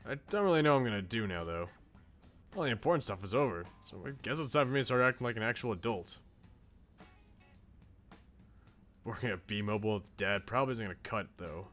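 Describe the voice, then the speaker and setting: A man's voice: fairly low pitch, expressive, fast talker, a bit bright, soft background music. A man voicing a brash, insecure but loyal eighteen-year-old slacker in a visual novel, with blunt, casual slang. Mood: casual, self-deprecating. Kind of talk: visual novel character read aloud.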